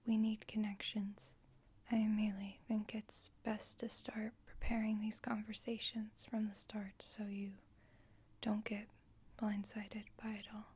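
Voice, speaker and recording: Whispering, breathy female vampire coven leader, acted by a woman. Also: mid-range voice, little pitch movement. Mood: gentle, reassuring. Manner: gentle, measured, formal. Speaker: ASMR roleplay voice performer. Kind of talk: whispered ASMR roleplay.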